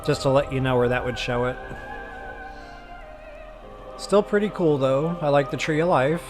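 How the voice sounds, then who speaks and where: A man's voice: mid-range voice, normal speed. A man talking casually to his viewers as a game livestreamer, chatty and informal. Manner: chatty, addresses viewers as guys and y'all. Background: game music.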